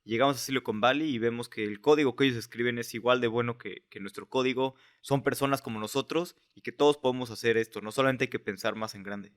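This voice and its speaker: Male podcast host, medium pitch, conversational, halting and winding in his questions. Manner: halting, winding questions padded with filler words.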